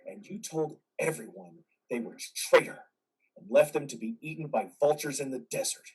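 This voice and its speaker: A man's voice: mid-range voice, animated, moderate pace, darkish tone, slightly rough, far from the mic, rough recording. A man playing an anguished, vengeful war veteran in a dramatic play reading. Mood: anguished, angry. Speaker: amateur actor.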